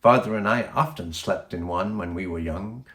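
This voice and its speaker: A man reading the part of a kindly, practical, gently cautious mother in a children's storybook. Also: low voice, normal speed. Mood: fond, amused.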